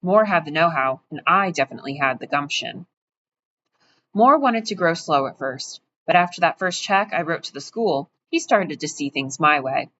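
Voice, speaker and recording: A boastful, self-important rich middle-aged man, put on by a woman reading an audiobook. He talks about himself with grand pomp. The low voice comes through muffled, with a light hiss behind it. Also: expressive, normal speed, a bit bright. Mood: smug. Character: smug, pompous wealthy middle-aged male donor.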